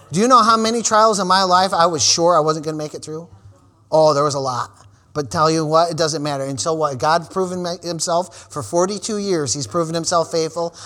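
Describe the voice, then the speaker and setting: A man in his forties preaching a sermon, calling out "amen" and "praise the Lord" between lines and building to shouted, repeated refrains. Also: sits high. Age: 40s. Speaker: pastor.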